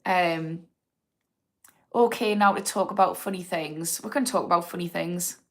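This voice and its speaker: Woman, slightly low-pitched, casual and frank, livestream host answering comments. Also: a little slow, fairly bright tone. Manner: chatty and frank, thinking aloud with fillers. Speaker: host of an addiction recovery channel.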